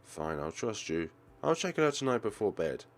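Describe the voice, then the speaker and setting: A man's voice: lowish voice, very animated, normal speed. A man voicing a guarded, troubled teenage schoolgirl in a visual novel, hesitant and wary but hopeful.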